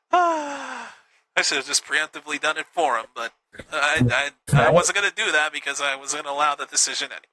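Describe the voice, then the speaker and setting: Lively, bright-voiced male game show host. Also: a little high, moderate pace, rough recording. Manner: lively, upbeat, teasing. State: slightly hoarse. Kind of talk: livestream game show hosting.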